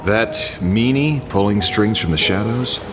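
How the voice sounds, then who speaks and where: A man acting a dry, confident, businesslike mercenary in a video game, speaking deliberately. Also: on the low side, over music, natural voice. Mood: dry, resolute.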